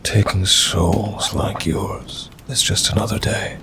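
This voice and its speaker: A man's voice: low-pitched, steady tone, takes it slowly, bright, forceful tone, slight background noise, exaggerated voice. A man voicing a bored, condescending demon in an intimate ASMR roleplay, with a dry, languid, faintly mocking delivery. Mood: taunting, menacing. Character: bored male demon with claws and fangs, wry, condescending.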